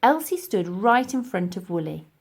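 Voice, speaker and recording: A woman narrating a scripted children's audiobook in an expressive, deliberate storytelling manner. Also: medium pitch, breathy edge. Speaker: audiobook reader.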